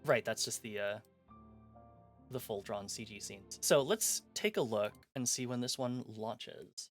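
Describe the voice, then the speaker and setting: A man streaming a visual novel, reading its text aloud and chatting between scenes in an animated, self-mocking, jokey way. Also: mid-range voice, measured pace, soft background music. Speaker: game streamer. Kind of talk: live-stream chat, reading a game aloud.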